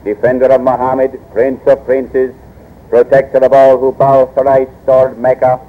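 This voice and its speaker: A male actor performs a ceremonious court herald, declaiming formally. The delivery is utterly flat in pitch, heard through a thin, tinny old radio recording. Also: measured pace, lightly acted. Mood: solemn, proclaiming. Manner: solemn, declamatory. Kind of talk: old-time radio drama acting.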